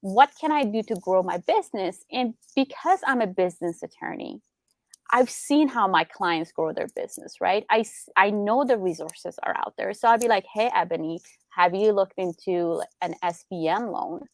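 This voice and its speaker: A woman's voice: mid-range voice, expressive, normal speed, no echo. A young adult woman from Iran, a business attorney, explaining in casual interview chat with lots of rhetorical questions.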